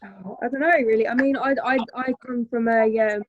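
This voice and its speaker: A woman in her early twenties speaking on a video call as a guest, halting with frequent ums. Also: fairly high pitch, fairly even intonation, no room sound, highs cut off. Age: 20s. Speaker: guest mother. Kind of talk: casual video call chat.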